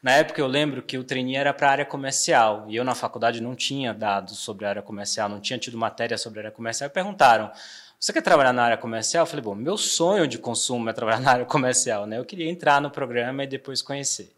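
Bahian guy in his 40s, accent kinda mixed with são paulo, relaxed business podcast chat, lots of "né", mid pitch. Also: quick pace. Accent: Bahian Portuguese softened by São Paulo. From Bahia, Brazil. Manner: relaxed, frequent fillers and tag questions. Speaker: trade marketing director guest.

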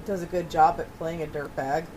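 A woman's voice: low-pitched, fairly even intonation, brisk, noisy background. A woman co-hosting a podcast, talking casually about films. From Massachusetts, United States. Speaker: podcast co-host. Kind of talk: casual film discussion.

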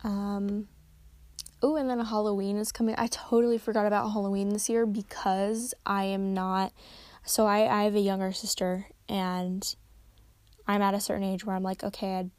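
A tired young woman hosting a casual solo podcast at home, rambling and correcting herself, her voice slightly raspy. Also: mid-range voice, level tone, moderate pace, a little mellow, no room sound. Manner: rambling, chatty, self-correcting. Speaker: podcast host. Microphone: close desk microphone.

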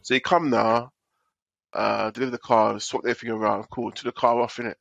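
A man's voice: medium pitch, flat delivery, brisk, vocal fry, a bit bright, no echo, highs cut off. A man with a slightly nasal voice from a cold, co-hosting a casual chat and telling a rambling story. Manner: rambling storyteller, repeats himself. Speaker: podcast co-host.

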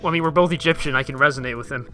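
Quick, bright-voiced teasing young man. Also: medium pitch, soft background music. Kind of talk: casual podcast chat.

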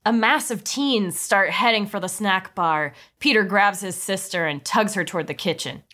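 A woman podcast host narrating a comedy script in a lively way, with playful asides. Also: mid-range voice, normal speed.

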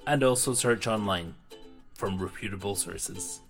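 A man's voice: on the low side, measured pace, faint music behind. A man hosting a podcast, thanking his guests and reading the closing sign-off in an upbeat way. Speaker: podcast host. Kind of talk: podcast outro.

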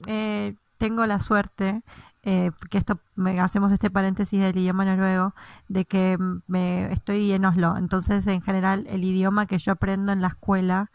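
A woman with an Argentine accent, her pitch in the middle range, asks questions with friendly curiosity. She talks casually about her own experiences. Her voice sounds dry and close, with no room echo. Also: completely flat delivery, normal speed, a little mellow. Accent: Argentine Spanish. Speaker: podcast co-host. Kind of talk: casual interview conversation.

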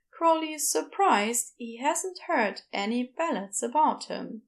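A woman's voice: high voice, vocal fry. A woman reading scripted fiction narration as the narrator of a podfic story.

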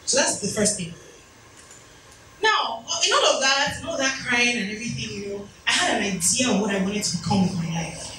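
A woman's voice: fairly high pitch, animated, fairly quick, sharp tone. A woman in her thirties giving a motivational talk at an event, telling personal stories with chatty, self-deprecating asides. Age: 30s. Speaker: speaker. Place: event hall. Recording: echoey room from far off, noisy.

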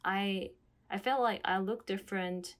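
A woman's voice: medium pitch, steady tone. A young woman from South Korea, a native Korean speaker, talking casually and reflectively while searching for her words. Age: young adult. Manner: halting, searching for words, frequent "like". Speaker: conversation partner.

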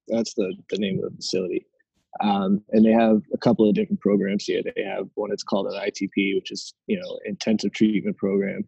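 A man in his late twenties, a guest talking casually and haltingly, with lots of fillers and restarts. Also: fairly low pitch, flat delivery, gravelly, warm tone, breathy edge, dry recording, lo-fi sound. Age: 20s. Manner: hesitant, many fillers and restarts. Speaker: interview guest. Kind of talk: casual conversation.

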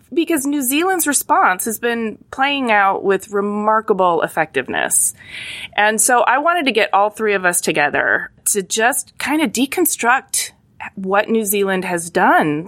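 A woman's voice: medium pitch, a bit bright. A woman hosting a design podcast with an earnest, enthusiastic manner, reading an intro and then chatting with guests. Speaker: podcast host. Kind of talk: scripted intro and casual interviewing.

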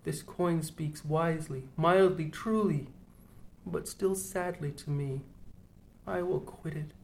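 A man reading an audiobook, voicing a pious, anxious first mate in a quiet, earnest murmur. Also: fairly high pitch, steady tone, takes it slowly, slight background noise. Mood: troubled.

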